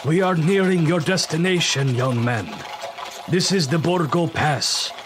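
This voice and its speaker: An actor playing a gruff coach driver in a gothic audio drama. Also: male voice, a little high, unhurried, background noise, lightly acted. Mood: ominous. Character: gruff male coach driver warning young traveller.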